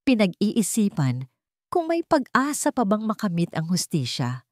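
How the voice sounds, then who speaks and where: A woman's voice: medium pitch, wildly swooping pitch, measured pace, darkish tone, no room sound, clean studio sound. A woman with a Filipino accent narrating a scripted dramatic story like an audiobook.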